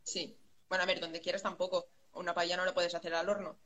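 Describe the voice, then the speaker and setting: A young woman from Valencia, a student guest on a radio interview, giving earnest answers and quick agreeing replies, recorded on a studio microphone. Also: lowish voice, level tone, a bit bright. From Valencia, Spain. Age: young adult.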